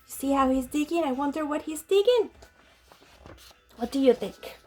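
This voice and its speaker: A woman's voice: high voice, expressive, moderate pace, mellow, soft background music. A woman teacher talking to children before a read-aloud, asking them questions and mixing Spanish with English. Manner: bilingual, mixes Spanish and English mid-sentence. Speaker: dual language teacher.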